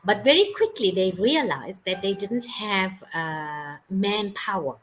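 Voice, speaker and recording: A woman's voice: mid-range voice, animated, takes it slowly, a little hiss or hum, rough recording. A South African woman tutoring English on a video call, explaining patiently and checking that she is understood. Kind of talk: casual video-call conversation.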